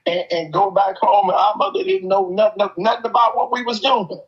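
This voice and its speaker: A man's voice: high-pitched, fairly quick. An older man in casual, opinionated conversation, telling stories in an emphatic, streetwise way. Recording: dull, lo-fi audio.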